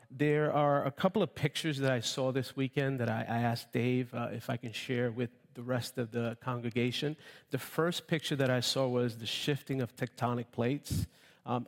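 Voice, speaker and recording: Man preaching in a reverberant hall. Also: mid-range voice. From Honduras. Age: late 40s. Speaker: visiting preacher. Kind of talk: informal sermon to a congregation. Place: large church room, preaching to congregation. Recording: echoey hall, long reverb.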